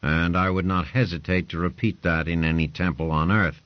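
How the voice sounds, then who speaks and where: A man's voice: deep voice, flat delivery, moderate pace, dry recording, muffled recording, lightly acted. A man voicing a domineering male lord who talks in curt, commanding orders.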